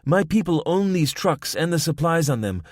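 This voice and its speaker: A man's voice: on the high side, expressive, moderate pace, a little mellow, no echo, clean studio sound, voice pushed up. A man doing a cocky young Spanish-accented woman. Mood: taunting. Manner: mocking, self-assured. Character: tough young woman gang lookout, confident.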